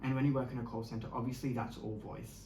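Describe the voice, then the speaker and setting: A fast-talking host with a notably flat tone of voice, chatting casually and thinking aloud. The recording is made in an echoey room with a faint hiss underneath. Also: mid-range voice, gravelly. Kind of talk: casual chat. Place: indoor room during a makeup session.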